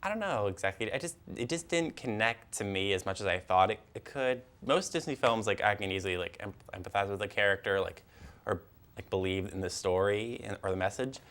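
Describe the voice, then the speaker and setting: Halting young man with lively pitch swings. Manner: halting, stammering, repeats words. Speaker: co-host of a film and TV talk show. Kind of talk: casual chat.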